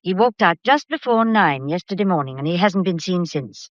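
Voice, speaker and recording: An actress playing a genteel, fussing gallery employee with a confiding, sympathetic manner in a period mystery drama. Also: female voice, on the low side, lively intonation, normal speed, dry recording, lo-fi sound, natural voice. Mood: worried, confiding. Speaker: actor.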